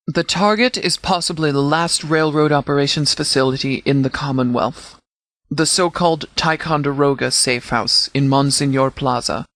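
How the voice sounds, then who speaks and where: An actor playing a stern, calculating sheriff who commands a post-apocalyptic militia in a video game, speaking in a formal, measured, authoritative way. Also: male voice, fairly high pitch, a bit bright, no room sound, natural voice. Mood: businesslike, measured. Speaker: sheriff and faction leader. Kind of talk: video game dialogue, briefing.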